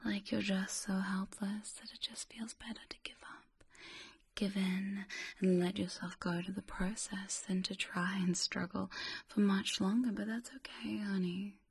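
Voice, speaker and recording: A woman whispers right up close to the microphone in a slow, airy hush. Her voice stays flat and even, soothing and coaxing, with phrases repeated softly in an ASMR hypnosis style. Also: mid-range voice, gravelly, slightly rough.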